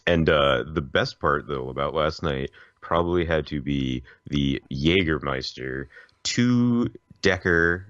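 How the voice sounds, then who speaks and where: Very deep-voiced American man chatting. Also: slow, a bit bright, no echo, dull audio. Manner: rambling, informal storytelling. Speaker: podcast host. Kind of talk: casual podcast chat.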